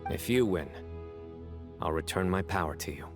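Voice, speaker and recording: A stern young desert general in game dialogue. His voice is very low and grave, and every line is measured. Faint music plays beneath him. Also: expressive. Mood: solemn. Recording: game audio with soft music.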